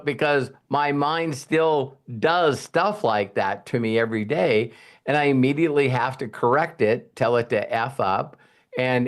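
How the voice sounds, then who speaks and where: A man hosts a loose question-and-answer session. He talks bluntly and folksily and curses now and then. His voice sits in an ordinary middle range. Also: medium pitch. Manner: blunt, folksy, with mild cursing. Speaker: host. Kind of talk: casual live Q&A session.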